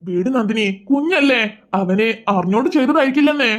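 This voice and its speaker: Cartoon dad voiced by a woman, gentle, reassuring, fairly high, fast. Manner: calming, reassuring. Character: calm gentle father.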